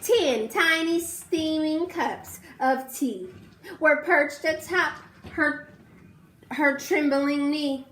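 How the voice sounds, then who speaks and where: A woman teacher reading a rhyming storybook aloud to young children, pausing to ask the class questions. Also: high-pitched, a bit bright, reverberant.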